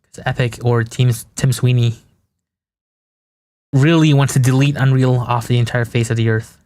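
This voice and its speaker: A man's voice: medium pitch, steady tone, moderate pace, darkish tone. A young man from Malaysia, whose first language is Malay, chatting loosely on a game-dev live stream and thinking aloud with restarts. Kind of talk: casual live-stream chat while working.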